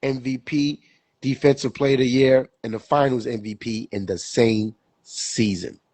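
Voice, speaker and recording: A young man co-hosting a sports podcast, his voice stuffy and husky from a cold. He rambles along in loose, casual talk, repeating words and leaning on fillers. The sound is dry and close, with no room around it. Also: medium pitch, fairly even intonation, normal speed, a little mellow, no echo. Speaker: podcast co-host.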